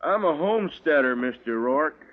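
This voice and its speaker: An actor playing a plain-spoken, stubborn homesteader with folksy rustic phrasing in a 1950s radio western. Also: male voice, a little high, animated, normal speed, heavy background noise, lightly acted. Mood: defiant. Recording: muffled old radio recording, crowd behind.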